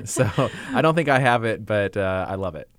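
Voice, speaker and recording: A man from eastern Iowa with a Midwestern accent, talking in a relaxed, affable way on a podcast. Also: lowish voice, normal speed, clear, resonant tone, no echo, clean studio sound. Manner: relaxed, affable, laughs along. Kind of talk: casual podcast conversation.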